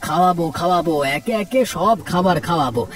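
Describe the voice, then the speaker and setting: A man's voice: high voice, expressive, fast, far from the mic, soft background music. A man voicing a good-natured, generous village husband and father in a cartoon. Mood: cheerful, generous. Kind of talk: Bengali cartoon dubbing.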